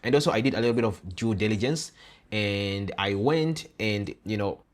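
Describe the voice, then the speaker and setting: Brief: young man, fairly low voice, earnest host who repeats himself for emphasis. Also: normal speed. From Uganda. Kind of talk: casual interview chat.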